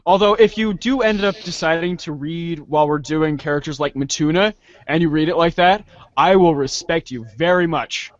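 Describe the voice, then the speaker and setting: A man with a gravelly voice giving short cues and casual banter on a read-along show. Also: on the high side, normal speed, dry recording, highs cut off.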